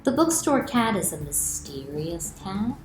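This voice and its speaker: Middle-aged lady doing kids storytime, expressive, echoey home room, faint music. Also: mid-range voice, a little slow. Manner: expressive, clearly addressed to children. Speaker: children's author reading aloud. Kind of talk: children's storytime read-aloud and explanation. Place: small room at home, indoors.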